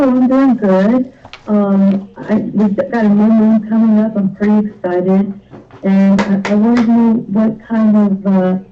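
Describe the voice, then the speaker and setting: A hesitant woman from Florida calling in to a radio show. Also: mid-range voice. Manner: hesitant, many uh and restarts. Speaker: caller. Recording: dull phone line.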